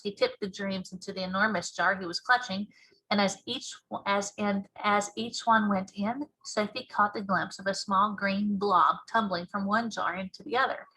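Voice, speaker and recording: Bright, husky woman reading a storybook. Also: mid-range voice, low-quality recording. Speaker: teacher reading aloud. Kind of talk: storybook narration, modeled read-aloud.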